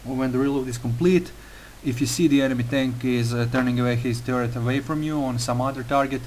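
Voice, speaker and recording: A young man with a Croatian accent narrates a game tutorial at a mid-range pitch, working through his sentences with frequent pauses and filler sounds. A faint hiss sits under his voice. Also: fairly even intonation, darkish tone. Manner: careful non-native phrasing with frequent uh fillers. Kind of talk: gameplay tutorial voice-over.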